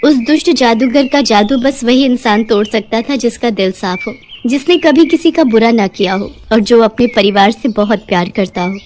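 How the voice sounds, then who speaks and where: A woman voicing a kind, grateful fairy in an animated moral story, speaking in an earnest, pleading and explanatory way. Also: fairly high pitch, moderate pace, few pauses, a little hiss or hum, close to an everyday voice. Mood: grateful, gracious.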